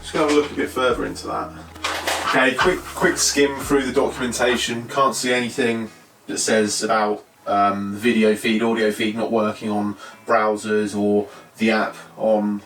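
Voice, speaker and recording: A man's voice: lowish voice, little pitch movement, moderate pace. A British man giving a casual, unscripted product review, thinking aloud in short, hesitant fragments. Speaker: presenter of a tech product review. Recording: roomy echo, distant mic, faint music.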